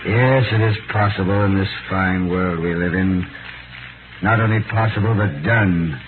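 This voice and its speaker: An actor playing a grim, bitter Parisian wine-shop keeper and former servant, terse and brooding, in a 1930s radio drama. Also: male voice, deep voice, measured pace. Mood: bitter, vengeful. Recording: noisy, narrow-band 1930s radio recording.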